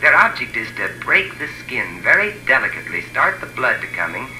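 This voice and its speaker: A man acting as a haunted adventurer who narrates a deadly jungle ordeal in dramatic, deliberate 1950s radio drama style. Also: medium pitch, sing-song, background noise, lightly acted. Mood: grim, brooding. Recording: old echoey radio recording with music.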